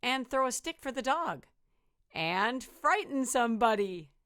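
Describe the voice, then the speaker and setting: A woman's voice: a little high, big pitch swings, a little slow, fairly bright tone, dry recording, studio quality, voice pushed up, heavily acted. A woman voicing an eager, mischievous little boy skeleton in a playful storybook read-aloud.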